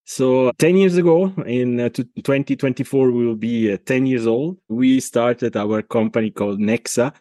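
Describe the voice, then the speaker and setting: A middle-aged man with an Italian accent, a consultant speaking as a podcast guest, talking in a halting way with many restarts. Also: medium pitch, mellow, no room sound. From Italy. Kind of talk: casual interview talk.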